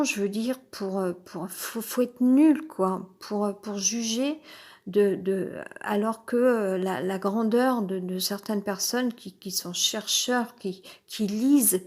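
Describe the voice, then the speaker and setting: A woman's voice: mid-range voice, a little mellow. A woman with a head cold talking off the cuff in a rambling, hesitant monologue, full of fillers and restarts. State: has a cold, stuffy. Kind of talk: improvised personal monologue. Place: uncomfortable spot with noise overhead.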